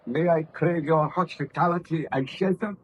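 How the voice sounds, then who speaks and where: An actor playing a weak, driven baron in an old radio drama, speaking in formal, archaic phrases with anguish. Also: male voice, a little high, moderate pace, a little hiss or hum, close to an everyday voice. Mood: weary, anguished. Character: weak, driven baron rescued from the Arctic ice. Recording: dull old radio recording.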